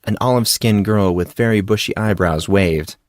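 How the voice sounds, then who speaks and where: A man's voice: fairly low pitch, normal speed, dry recording, clean studio sound. A man reading scripted fiction as an audiobook narrator.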